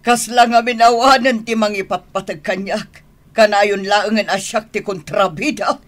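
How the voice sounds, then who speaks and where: A woman's voice: medium pitch, fairly even intonation, moderate pace, close to an everyday voice. A woman acting in an Ilocano radio drama as a hurt, self-pitying mother who feels unloved, plaintive and melodramatic. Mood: sulking.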